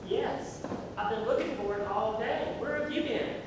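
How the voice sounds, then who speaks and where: A man's voice: normal speed, lightly acted. A man playing an exasperated, sarcastic character in a community theatre comedy. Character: exasperated, sarcastic man hunting for a lost thingamabob. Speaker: amateur stage actor. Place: theatre stage, distant microphone. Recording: noisy echoey hall from far off, rumble.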